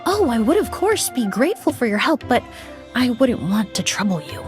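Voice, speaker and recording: A woman's voice: a little high, sing-song, moderate pace. A woman playing a refined, composed young perfumer in a video game, explaining things politely. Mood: gracious. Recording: music playing behind the voice.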